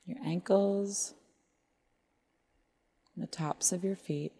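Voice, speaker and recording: A woman leading a guided body scan meditation in measured phrases with long pauses. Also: on the low side, fairly even intonation, mellow, breathy edge. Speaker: meditation teacher.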